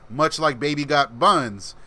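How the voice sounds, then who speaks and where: A man's voice: a little high, measured pace, sharp tone. A man hosting a casual livestream, reading and answering chat comments in a laid-back, rambling way, with a voice people call soothing. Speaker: channel host reading live chat.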